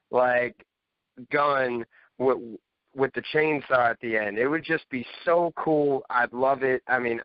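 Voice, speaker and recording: Male podcast co-host, unhurried delivery, mid-range voice, casual and enthusiastic, dry sound. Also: medium pitch, no room sound. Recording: slightly dull, dry radio-like sound.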